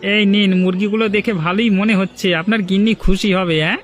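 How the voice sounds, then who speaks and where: A chatty, meddling village fellow from a cartoon , voiced by a man. His voice is notably high for a man, over faint music. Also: steady tone, brisk, lightly acted. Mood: encouraging. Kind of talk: Bengali cartoon voice acting. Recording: music under the voice.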